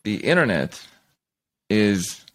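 A man hosting a podcast interview, casual and enthusiastic, quick with praise and informal asides. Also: lowish voice, very animated, no echo. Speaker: podcast host.

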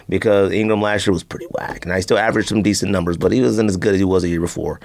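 A man's voice: low voice, steady tone, racing, gravelly, darkish tone. A man co-hosting a sports and sneaker podcast, speaking in a casual, slangy, opinionated way and ready to argue his point. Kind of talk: casual banter and debate.

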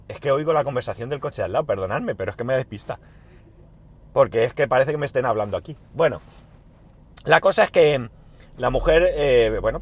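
Man with a sore throat musing in his car. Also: mid-range voice. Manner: rambling, self-correcting, thinks aloud with many fillers. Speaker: podcast host recording a daily audio diary. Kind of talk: casual spoken diary monologue. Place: inside a car.

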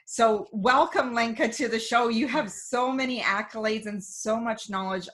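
A woman's voice: medium pitch, a bit bright. A woman hosts a podcast and introduces her guest in an upbeat, read-through manner. She then asks her questions in a friendly, conversational tone. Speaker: podcast host. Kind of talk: interview hosting.

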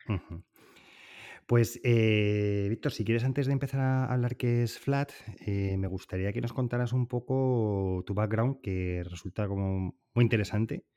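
A man's voice: on the low side, steady tone, a little slow, dark tone, clean tone, dull audio. A man hosting a podcast interview, friendly and chatty, introducing and prompting his guest and laughing easily. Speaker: podcast host.

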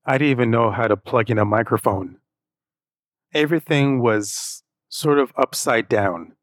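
A man's voice: mid-range voice, moderate pace, no echo, clean studio sound. A man hosting a podcast, introducing and interviewing a guest. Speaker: podcast host.

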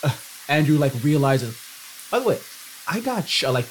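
An excitable young man riffing in character during a tabletop game. His pitch swings all over the place, shooting sky-high whenever he gets worked up. A faint hiss sits under the recording. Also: moderate pace, poor audio. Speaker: player. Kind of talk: improvised in-character banter.

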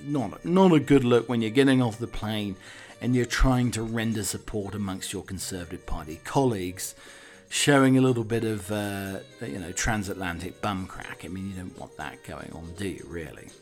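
A man's voice: lowish voice, normal speed, soft background music. A man hosting a comic talk on the week's news, wry and digressive, with hesitant asides. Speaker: podcast host.

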